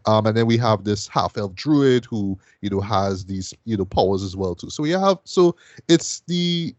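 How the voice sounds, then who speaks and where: A man's voice: on the low side, lively intonation, normal speed, dry recording, muffled recording. A man from Trinidad, casually reviewing a show as a podcast host, rambling with lots of fillers and "right?" tags.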